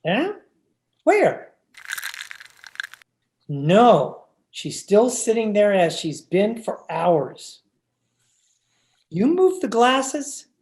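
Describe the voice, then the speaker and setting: A henpecked, scheming husband from a radio horror play, played by a man. He coaxes slowly and deliberately, repeating himself, his pitch sliding widely up and down. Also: huge pitch swings, a little mellow, exaggerated voice. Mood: coaxing, sly. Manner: coaxing, deliberate, repetitive. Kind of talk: old-time radio horror acting.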